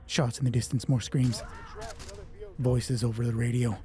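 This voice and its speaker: A man with a husky voice narrates a solo tabletop game casually, thinking aloud and swearing freely as he talks himself through rulings. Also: medium pitch, level tone, normal speed, warm tone. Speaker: solo roleplay player and narrator.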